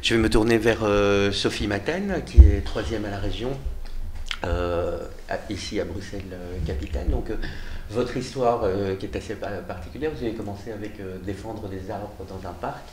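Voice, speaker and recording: A man hosting a political interview, pausing often on fillers. His voice sits low, and the studio around him sounds roomy. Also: a little hiss or hum. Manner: hesitant, many fillers. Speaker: talk show host. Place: television studio in Brussels.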